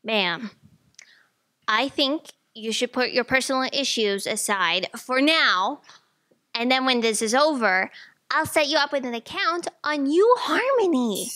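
Woman acting high, bright, eager young assistant. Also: animated, measured pace, heavily acted. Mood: insistent. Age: young adult. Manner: earnest, insistent. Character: eager, outspoken young female fact checker assistant. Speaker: stage actor. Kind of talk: staged audio drama acting. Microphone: stand microphone on stage.

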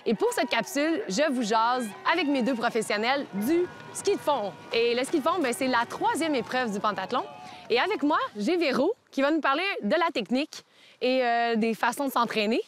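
A young woman with a Québécois accent hosts with a bubbly, eager lilt, her voice sitting high. She speaks outdoors in a snowy park over light background music. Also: animated, moderate pace. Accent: Québécois French. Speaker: host. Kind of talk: upbeat outdoor interview.

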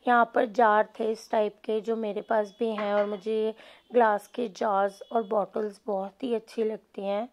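A woman's voice: mid-range voice, flat delivery, measured pace. A woman giving a casual, chatty vlog voice-over, mixing in English words. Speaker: shopping vlogger.

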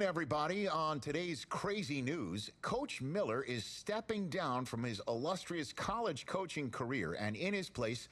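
Husky, animated sports show host. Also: male voice, mid-range voice. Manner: punchy, hyped. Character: excitable male sports news show host. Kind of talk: sports broadcast commentary.

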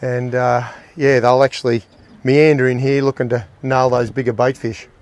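A middle-aged man hosting a fishing show, giving excited, exclaiming commentary from a small boat. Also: medium pitch, moderate pace. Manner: enthusiastic, exclaiming, talks to the fish and his mate.

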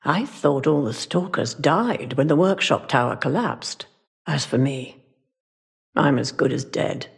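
An actress playing a sorrowful, guilt-ridden older woman guarding the way in a video game, speaking gravely and solemnly in a husky, gravelly voice. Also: very deep voice, animated, a little slow, full character voice. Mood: sorrowful, resigned. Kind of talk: video game character acting.

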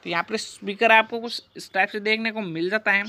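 A bright, forceful voice narrates a product explainer. The delivery is scripted and formulaic, moving through details as a repeated list in the same pattern each time. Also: medium pitch, steady tone, fairly quick. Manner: repetitive, formulaic listing.

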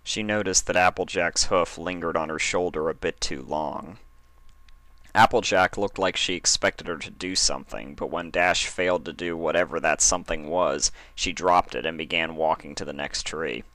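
A man's voice: deep voice, normal speed, sharp tone, dry recording. A man reading a story aloud as a scripted narration. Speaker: fan fiction reader.

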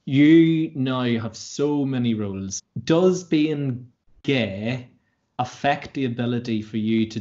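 A young man hosting an interview, asking questions in a measured, gently prompting way over a video call. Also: fairly low pitch. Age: young adult. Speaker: interview host. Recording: dull video call audio.

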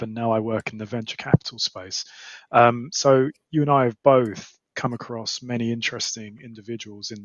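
A man's voice: mid-range voice, no echo. A middle-aged man hosting a fireside chat over a video call, speaking in an upbeat, welcoming way through a headset. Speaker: host. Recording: dry, dull lo-fi call audio.

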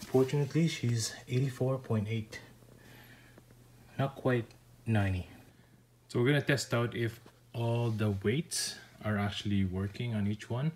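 A man's voice: mid-range voice, steady tone, measured pace, pauses often, darkish tone, slightly rough. A man casually commenting while he unboxes and tests adjustable dumbbells, repeating short stock phrases. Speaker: product reviewer. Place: room with foam floor mats, indoors.

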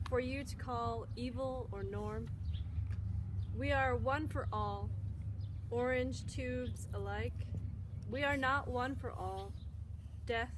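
A woman's voice: on the high side, takes it slowly, long pauses. A woman in her forties reading her own short poems aloud. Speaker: poet reading own work. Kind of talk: poetry reading. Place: outdoors in a yard. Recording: noisy outdoor ambience, birds, traffic.

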